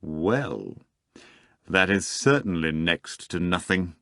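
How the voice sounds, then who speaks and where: A man's voice: medium pitch, sing-song, slow, fairly bright tone, no echo, clean studio sound, pitched above the performer's own voice. A man voicing a suave, condescending male magician in an audiobook reading. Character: suave, condescending foreign magician, an older devil.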